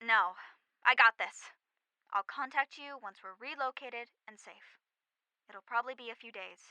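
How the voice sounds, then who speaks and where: An actress playing a brave, concerned friend on a phone call in an audio drama, brisk and reassuring. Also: female voice, a little high, sharp tone, clear, resonant tone, dry recording. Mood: resolute.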